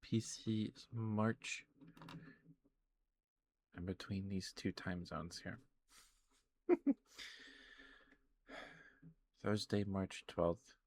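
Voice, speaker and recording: A soft-spoken man hosting a casual ASMR livestream, talking to viewers in an unhurried, affectionate way. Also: lowish voice. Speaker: streamer, host of the show.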